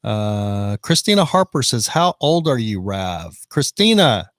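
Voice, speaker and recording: A man's voice: on the low side, sing-song, measured pace, fairly bright tone, dry recording. Fifty-something guy chatting on his live stream, reading comments, dry close sound. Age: 50s. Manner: chatty, reads out viewer comments. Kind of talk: casual live-stream chat. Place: van in the rain.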